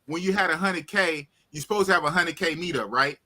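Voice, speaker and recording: Bright-voiced animated man in his fifties. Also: fairly high pitch, fairly quick. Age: 50s. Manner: animated, shouts out viewers, repeats himself. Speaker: host of a live talk stream. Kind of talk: casual livestream chat.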